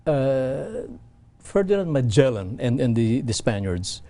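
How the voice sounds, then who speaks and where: A man from the Philippines, a guest on an interview show, explaining in halting talk with many restarts. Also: medium pitch, big pitch swings, mellow. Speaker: event representative guest.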